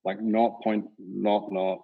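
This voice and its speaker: Middle-aged Northern Irish man, founder being interviewed, lowish voice, rambling and easygoing, dull lo-fi sound. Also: slow, gravelly. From Northern Ireland. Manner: relaxed, rambling, many fillers and restarts. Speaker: company founder and CEO, guest. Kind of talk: casual interview talk. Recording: dull lo-fi audio.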